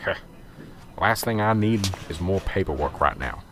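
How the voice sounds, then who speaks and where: A gruff police sergeant, played by a man, talks curtly and impatiently. His voice sits fairly low, with a faint hiss under this amateur radio drama. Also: animated, moderate pace.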